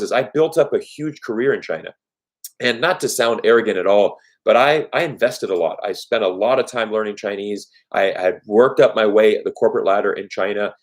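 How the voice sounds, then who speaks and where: A man whose was German, hosting a live-stream Q&A, talking earnestly and conversationally and often restarting phrases with "you know". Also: fairly low pitch. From United States. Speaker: YouTube host.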